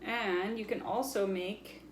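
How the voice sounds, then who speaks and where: A woman's voice: medium pitch, steady tone, takes it slowly, a little hiss or hum. A woman presenting a children's story time, chatting casually and playfully as she shows things and explains them simply. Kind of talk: casual show-and-tell for children.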